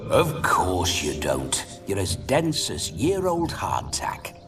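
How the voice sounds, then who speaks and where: A man's voice: low voice, wildly swooping pitch, slow, distant mic, music in the background, full character voice. An actor voicing a pompous, pleasure-loving ghost of a rakish nobleman in a video game, with theatrical, archaic speech. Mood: impatient, scornful. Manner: theatrical, archaic, haughty turns of phrase. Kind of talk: video game character acting.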